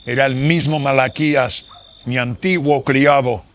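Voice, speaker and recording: A man's voice: mid-range voice, fairly even intonation, unhurried, a bit bright, slight background noise, pitched above the performer's own voice, close to an everyday voice. A man voicing a stern, secretive colonial nobleman in a horror radio drama, speaking formally and theatrically. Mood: grave explaining.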